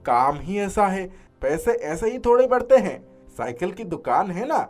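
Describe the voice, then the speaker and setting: A woman's voice: medium pitch, sing-song, unhurried, a little mellow, soft background music. A woman playing a blunt working-class young husband in a dramatized moral story, speaking emphatically. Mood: excited, explaining.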